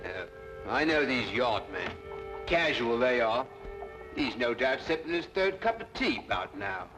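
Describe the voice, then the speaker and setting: A man's voice: medium pitch, big pitch swings, measured pace, over music, rough recording. An actor playing a talkative, deferential policeman who reports eagerly in clipped phrases, in an old-time radio drama. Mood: idle grumbling.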